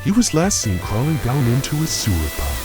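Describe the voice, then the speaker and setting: A man's voice: medium pitch, huge pitch swings, a little fast. With music playing behind, a theatrical jazz DJ in an audio drama. His honeyed voice swoops dramatically, condescending and faintly menacing. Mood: syrupy, suave. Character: smooth-talking jazz radio host, syrupy yet menacing. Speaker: radio jazz host. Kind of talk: audio drama radio host parody.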